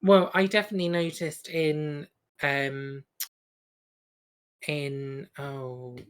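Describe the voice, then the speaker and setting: A woman's voice: low voice, flat delivery, slow, clean tone. A woman co-hosting a podcast, chatting casually about something she follows as a fan, with emphatic opinions. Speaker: podcast co-host. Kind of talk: casual chat, fan review.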